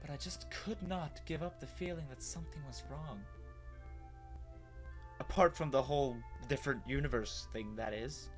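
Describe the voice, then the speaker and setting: A man's voice: fairly high pitch, normal speed, faint music behind, lightly acted. A man playing a bewildered human with lost memory, narrating his own story in a dramatic, hesitant, wondering way. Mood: uneasy, wondering.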